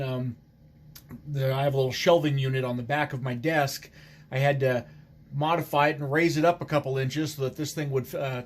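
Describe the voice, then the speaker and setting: A man speaking as an amateur radio operator in casual conversation, in a halting, hesitant way. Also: fairly high pitch.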